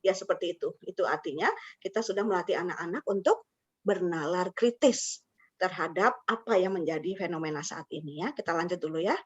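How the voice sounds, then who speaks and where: A woman's voice: lowish voice, fairly quick, breathy edge. A woman hosting an interactive online webinar, chatty and engaging listeners with questions. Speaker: webinar host and teacher mentor. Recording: muffled lo-fi online call audio.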